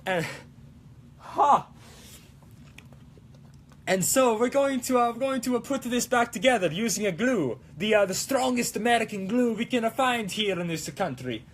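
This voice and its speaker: A man doing a high, excitable cartoon Italian guy. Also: normal speed, long pauses. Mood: playful, explaining. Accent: mock Italian. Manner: exclamatory, playful, sing-song broken English. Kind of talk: live-streamed prop-making vlog in a put-on accent.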